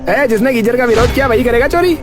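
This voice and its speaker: A man's voice: extremely high pitch, fast, over music. A man voicing a pushy, quarrelsome cartoon villager, scolding and agitated.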